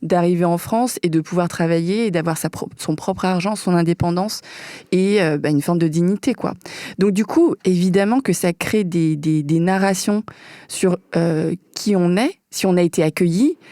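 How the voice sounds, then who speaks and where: A woman speaking as a guest in a casual conversation, thinking aloud and often pausing with fillers as she searches for words. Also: on the low side, mellow, no echo, studio quality. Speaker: guest filmmaker.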